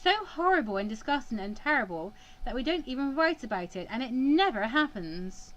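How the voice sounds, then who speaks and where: High-pitched young female student, acted by a woman, distraught, defiant, halting, emphatic, visual novel dialogue. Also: big pitch swings, fairly bright tone, highs cut off. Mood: indignant.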